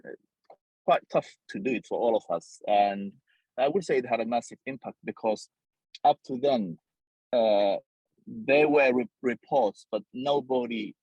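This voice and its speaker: A man's voice: lowish voice, darkish tone, breathy edge, no echo, low-quality recording. A man with a Somali accent, speaking as a journalist in an interview, reflecting earnestly and sometimes repeating his phrases.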